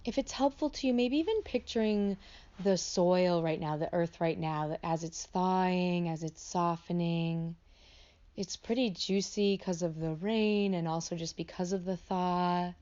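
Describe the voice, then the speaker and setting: A woman with a fairly low voice leads a guided meditation. She speaks off the cuff, gently and a little hesitantly, phrasing each instruction as an invitation. The recording is slightly dull. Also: unhurried, smooth. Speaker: meditation teacher.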